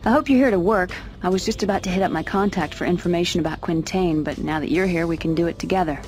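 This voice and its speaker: An actress playing a brisk, sarcastic female agent in a game cutscene, impatient with her partner. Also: fairly low pitch, a little mellow, faint music behind, natural voice. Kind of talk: video game cutscene acting.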